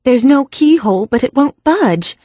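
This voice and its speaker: A plucky young American woman, voiced by a woman narrator, speaks earnestly with a faint worry underneath. Her voice sits higher than the narration, and the recording is dry and close. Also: animated, normal speed, warm tone, dry recording.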